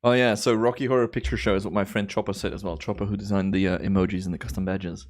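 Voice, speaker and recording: Belgian guy hosting a chill stream, slightly low voice, studio mic, drawls out "very nice" stuff. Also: flat delivery. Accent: Belgian, with American and Australian influence. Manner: laid-back, chatty, drawn-out enthusiastic exclamations. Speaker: timelapse livestream host. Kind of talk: relaxed live stream commentary.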